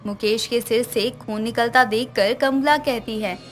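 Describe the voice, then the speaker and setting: Casting: female storyteller, high-pitched voice, scripted moral-tale narration with faint music underneath. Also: measured pace.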